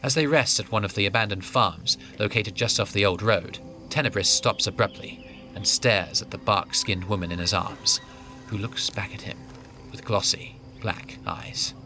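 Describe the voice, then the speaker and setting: A man's voice: fairly low pitch, normal speed, sharp tone, soft background music. A man giving scripted narration as the storyteller of a fantasy audio drama.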